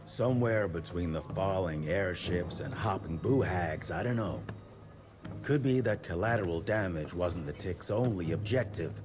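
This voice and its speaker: Low-voiced seasoned frontier fighter, music behind. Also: male voice, normal speed. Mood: grave, careful. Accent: American. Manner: folksy, steady, frank. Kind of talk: video game cutscene acting. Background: loud music.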